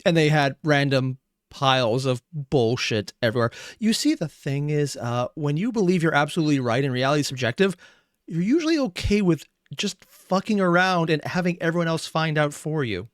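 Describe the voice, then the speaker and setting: Hoarse, profane man rambling in reaction. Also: on the high side, fairly quick, dry recording, studio quality. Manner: rambling, profane, animated asides. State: losing his voice. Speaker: reaction streamer. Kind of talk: casual reaction commentary.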